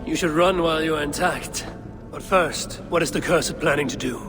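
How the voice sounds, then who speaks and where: An actor playing a stern, calm male Norse warrior who speaks in a measured, grave way. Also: fairly high pitch, lightly acted. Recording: game audio under loud music.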